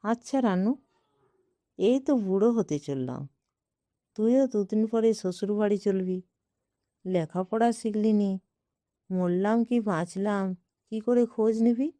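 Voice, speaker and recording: Fond uncle in an audio story, read by a woman. Indulgent and teasing, mellow delivery, dry sound. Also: medium pitch, unhurried, long pauses, no echo, natural voice. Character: fond middle-aged uncle, gently teasing his niece.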